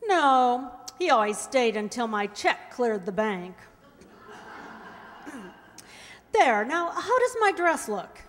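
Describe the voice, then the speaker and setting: Wry, breezy female star acted by a woman, highish lilting voice, dry punchlines, radio comedy in an echoey hall. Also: big pitch swings, slow, long pauses, fairly bright tone, full character voice. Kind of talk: old-time radio comedy acting. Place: stage in a large hall. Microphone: stand microphone. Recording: echoey hall stage performance.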